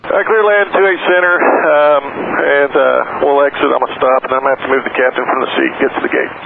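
Hurried pilot on a crackly radio. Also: male voice, medium pitch, steady tone, vocal fry, narrow, tinny audio. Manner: hurried, halting with fillers. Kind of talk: radio calls to air traffic control. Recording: crackly radio transmission with static.

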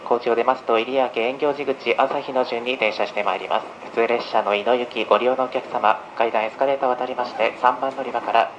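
A man's voice: steady tone, a little fast, hardly any pauses, muffled recording. A man working as a train conductor, making a formal, polite live announcement on board. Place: aboard a moving train. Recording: noisy train PA, loud rail rumble. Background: train running noise.

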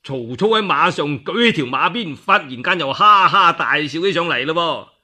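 Animated, husky Cantonese male storyteller. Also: on the high side, normal speed. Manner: colloquial, theatrical, emphatic. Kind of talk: dramatic solo radio storytelling.

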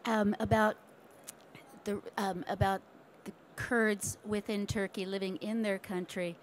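A woman's voice: mid-range voice, level tone, a little slow, slight background noise. An older woman chairing a book-fair panel at a table microphone, hesitant with ums. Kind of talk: moderating a panel. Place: conference room at a book fair.

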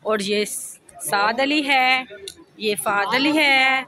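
A woman's voice: high voice, drawn-out words. A woman giving a casual, chatty walking vlog, pointing out her surroundings, recorded on a handheld phone outdoors. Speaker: vlogger. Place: large busy open-air mosque courtyards and grounds. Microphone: handheld phone, speaker behind camera. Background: crowd of visitors.

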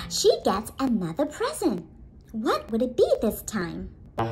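Children's storyteller: woman, very high voice, very slow delivery, coaxing questions, music behind. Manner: animated, exclaiming, coaxing questions with drawn-out words. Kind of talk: interactive storytelling for preschoolers. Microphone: phone or tablet video call, close to face.

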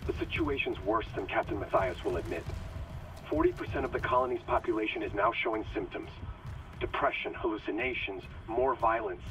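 An actor playing a worried, earnest ship's doctor leaving a confiding, troubled message in a game audio log. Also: male voice, lowish voice, brisk, fairly bright tone, a little hiss or hum, lightly acted, low-quality recording. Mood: troubled, urgent.

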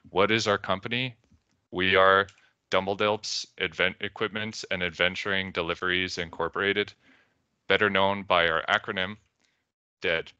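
Canadian guy doing a conference talk, deep but bright and clear, tells it like a story. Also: no echo. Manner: storytelling with quoted voices. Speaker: conference speaker. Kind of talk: presentation talk.

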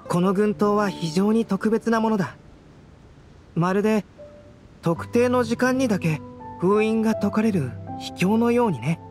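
An actor playing a gentle, curious young scholar in a video game, measured and thoughtful. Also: male voice, sits high. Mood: pensive. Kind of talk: Japanese video game voice acting. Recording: studio voice over guitar game music.

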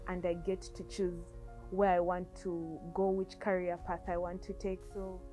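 A woman's voice: on the low side, level tone, moderate pace, dark tone, faint music behind. A young woman from Uganda, a coding student giving an eager, thoughtful interview testimonial on an outdoor terrace with a lapel mic. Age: young adult. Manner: eager, thoughtful, with repeated words and restarts.

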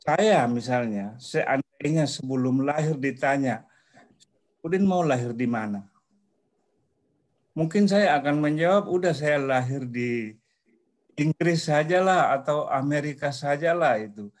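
A man, asking a question in an online discussion in a deliberate, halting way. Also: a little high, expressive. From Indonesia. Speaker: seminar participant asking questions. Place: small office, indoors. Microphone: video call, laptop at desk distance.

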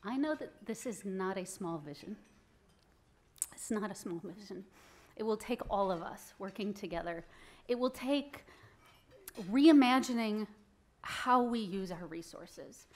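A woman in her thirties giving an earnest acceptance speech into a stand microphone in an event hall, stressing key phrases. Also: medium pitch. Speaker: award recipient giving speech. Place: event hall with audience, indoors. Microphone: stand mic at podium.

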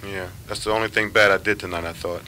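Middle-aged coach, low bright voice. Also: male voice, steady tone, a little hiss or hum. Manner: measured, thinking aloud with many fillers. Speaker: head basketball coach. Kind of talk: postgame press conference answers. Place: press room with sponsor backdrop. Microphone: table microphones at a press conference.

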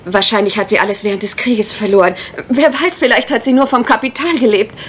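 A woman acting a genteel young lady in a vintage mystery radio drama, her voice fairly high. She tells her troubles politely and hesitantly, over a faint layer of old recording noise. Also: a little fast, close to an everyday voice. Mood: resigned, recounting. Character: anxious, well-bred young English parson's daughter, now landlady. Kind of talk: 1980s German mystery radio play acting.

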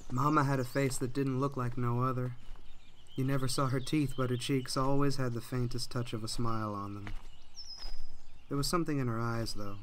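An actor playing a lonely young man surviving on his own, speaking quietly and wearily in a radio drama. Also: mid-range voice, flat delivery, normal speed, a little hiss or hum, lightly acted. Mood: weary. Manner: quiet, weary, reflective.

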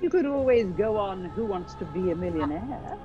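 A woman's voice: mid-range voice, very animated, moderate pace, lightly acted. Woman playing a theatrical wicked queen, swooping grandly. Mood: smug. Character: vain, gloating wicked queen in a pantomime. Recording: dull lo-fi video call, music behind.

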